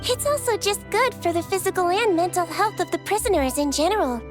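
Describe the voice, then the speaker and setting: A woman voicing a tiny, chirpy, childlike companion creature in a video game with a squeaky voice. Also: lively intonation, brisk. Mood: cheerful. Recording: children's music behind the voice.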